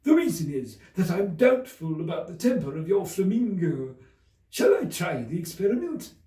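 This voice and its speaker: A high, wildly swooping old Duchess, acted by a man, gushes her morals with overbearing fondness. Every pronouncement is stretched out and sung like grand storybook theatre, in an echoey room. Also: sing-song, dark tone. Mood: affectionate. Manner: sing-song, drawn-out, emphatic pronouncements. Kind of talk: theatrical storybook character reading. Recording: roomy, echoey, distant from the mic.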